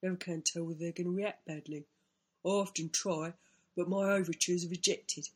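A woman's voice: lowish voice, fairly even intonation, normal speed, darkish tone, breathy edge. A woman voicing a timid, puzzled small male animal musing plaintively in a storybook tale.

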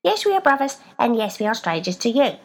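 A woman voicing a pair of talking feet, sarcastic brothers who scold loudly and sound lofty. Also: on the high side, big pitch swings, a little fast, voice pushed up. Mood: sardonic.

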